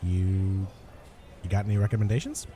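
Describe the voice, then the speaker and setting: A man's voice: deep voice, normal speed, warm tone, close to an everyday voice. A man playing a friendly, awkward and chatty cafe customer in a comedy audio roleplay, hesitant and rambling. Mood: chatty, upbeat. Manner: hesitant, rambling, good-natured. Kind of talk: ASMR comedy roleplay acting.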